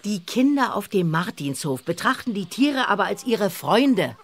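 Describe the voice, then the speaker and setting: An actress playing a sensible, protective middle-aged mother on a farm in a children's audio drama, firm and expressive. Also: medium pitch, normal speed, slight background noise.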